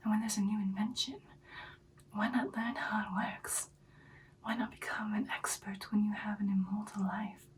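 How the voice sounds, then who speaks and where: A woman's voice: mid-range voice, steady tone, normal speed, recorded from a distance. Whispering ancient vampire played by a woman. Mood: softly confident. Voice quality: whispered, breathy. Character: ancient confident female vampire girlfriend, expert sniper. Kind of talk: ASMR roleplay.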